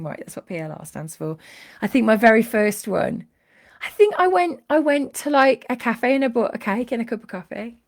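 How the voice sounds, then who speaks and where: A middle-aged woman hosts a live book chat, her voice sitting a touch high and a little croaky from a cold. She gushes warmly about readers and greets her viewers one by one. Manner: chatty and effusive, greets viewers by name. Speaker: author hosting a live book show. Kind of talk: casual live-stream chat.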